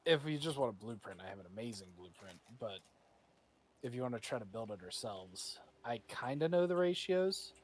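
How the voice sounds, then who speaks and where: A man's voice: medium pitch, lively intonation, moderate pace. A laid-back man chatting casually and swearing now and then while playing a game on a stream. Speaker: streaming co-player. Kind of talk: casual gaming stream chat.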